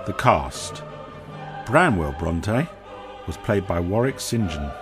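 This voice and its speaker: A male radio announcer with a fairly low voice reads scripted credits at a slow, measured pace. Music plays clearly behind him. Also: very animated.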